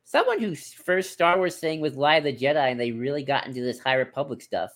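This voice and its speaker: Halting, rambling male podcast co-host. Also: a little high, sing-song. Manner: halting, rambling, circling back to rephrase. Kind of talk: casual podcast discussion.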